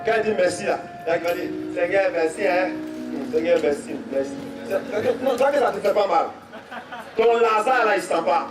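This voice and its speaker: High-voiced Ivorian preacher in an echoey hall. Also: male voice, moderate pace. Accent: Ivorian French. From Côte d'Ivoire. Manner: storytelling, repeats phrases, rises to shouts. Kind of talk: sermon testimony. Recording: echoey, from far off, faint music.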